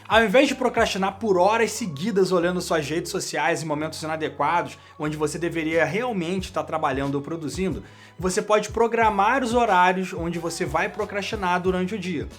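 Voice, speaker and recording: A man's voice: high voice, normal speed, faint music behind. A man with a Brazilian Portuguese accent, hosting a career channel and explaining to camera, speaking straight to the viewer. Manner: direct, addresses the viewer as "you".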